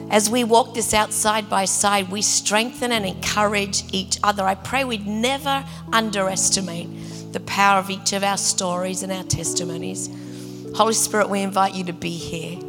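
A woman with a slightly raspy voice, leading a women's church gathering in prayer and casual sermon talk, full of fillers and restarts. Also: mid-range voice, long reverb tail. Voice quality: raspy today. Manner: warm, chatty, many fillers and restarts. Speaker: women's ministry leader.

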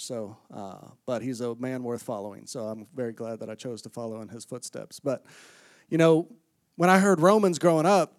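Casual middle-aged preacher, dry close sound. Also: male voice, a little high, a little mellow, dry recording. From Florida, US. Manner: conversational, self-deprecating humor, reads scripture aloud. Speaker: guest preacher. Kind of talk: sermon. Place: church sanctuary with congregation.

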